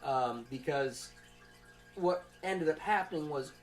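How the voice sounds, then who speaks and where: A young man giving an informal student presentation from notes, in a halting, conversational way. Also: on the high side, lively intonation, a little hiss or hum. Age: young adult.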